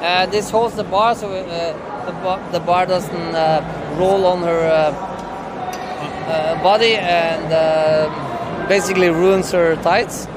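A man, demonstrating his gym machines in halting English full of fillers, at a busy fitness expo. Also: high voice, steady tone, very noisy. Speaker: equipment designer. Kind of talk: product demonstration. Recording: loud crowded trade-fair hall. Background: loud crowd chatter.

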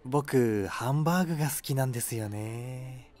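A man's voice: mid-range voice, normal speed, a little mellow, lightly acted. An actor playing an awkward, flustered young man on a date in an audio drama, polite and stammering. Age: young adult. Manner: hesitant, stammering.

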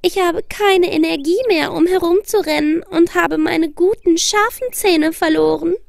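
A woman voicing a sad, weary old cat in a children's cartoon, creaky and plaintive. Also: extremely high pitch, steady tone, unhurried, dry recording, clean studio sound, full character voice. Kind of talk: children's fairy tale cartoon.

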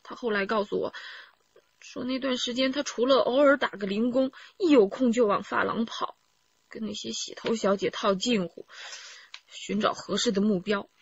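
An actress playing an aggrieved middle-aged wife giving her account in a radio crime drama, in a subdued, halting and weary manner. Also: fairly high pitch, creaky, slightly rough, no echo, muffled recording. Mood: weary, bitter. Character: aggrieved wife confessing her husband's scheme to police. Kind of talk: radio drama testimony, recounting.

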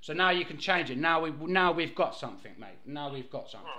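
A man's voice: on the high side, expressive, fast talker, bright, forceful tone. An English man making a haggling prank call, rambling pushily and repeating his phrases. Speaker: prank caller posing as a fruit machine dealer.

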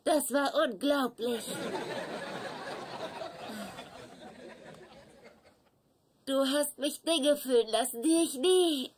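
An actress playing an eager young newlywed wife in a dubbed sitcom, sweet and coaxing. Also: female voice, high voice, unhurried, noisy background. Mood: dreamy.

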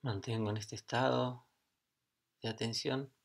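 A middle-aged man guiding a meditation as a teacher, speaking calmly in short phrases with long pauses. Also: mid-range voice, gravelly. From Argentina. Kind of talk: guided meditation.

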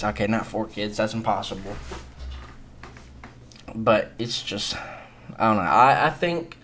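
Low-voiced, rambling young man. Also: a bit bright. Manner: rambling, hesitant, resigned. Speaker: gaming video creator. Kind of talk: casual unscripted news reaction commentary.

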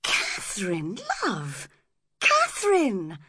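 A woman voicing a polite, sensitive young gentleman from a storybook, earnest in manner. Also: lowish voice, huge pitch swings, very slow, slightly rough, dry recording, clean studio sound, heavily acted. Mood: shocked.

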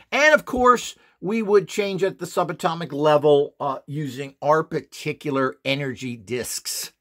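A man's voice: fairly high pitch, fairly bright tone. Middle-aged guy showing off his gear at a desk, bouncy up-and-down voice, kinda smug and dismissive. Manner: opinionated, dismissive, boastful. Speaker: presenter demonstrating devices. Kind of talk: casual product demonstration. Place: indoor desk, hands-on table demo.

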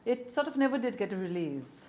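A middle-aged woman, hosting an interview with brisk questions and short affirmations. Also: mid-range voice, very animated, darkish tone. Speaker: interviewer, show host.

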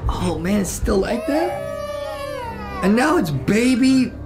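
A young man hosting a gaming video, giving excitable, playful commentary in a loud, slangy, teasing manner. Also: high-pitched, takes it slowly, background noise. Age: young adult. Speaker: gaming and reaction video host.

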